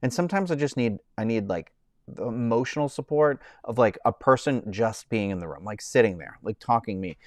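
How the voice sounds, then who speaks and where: A man in his thirties chats loosely to his live-stream viewers. His talk wanders, full of "like" and "um" and sentences he starts over. His pitch sits in the middle for a man, and the sound is dry and close, with no room echo. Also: darkish tone. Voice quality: says he has a high-pitched voice. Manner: rambling, many fillers and restarts. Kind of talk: casual live-stream chat.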